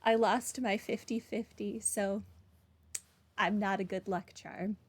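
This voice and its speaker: A woman's voice: medium pitch, steady tone, slow pace, breathy edge, no echo. A woman doing casual playthrough commentary as a game streamer, chatting informally. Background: game music.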